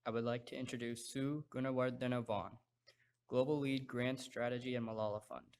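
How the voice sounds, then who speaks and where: A man's voice: mid-range voice, fairly even intonation, fairly quick, darkish tone, clean tone. A man formally introducing the next speaker at a memorial event. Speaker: event host.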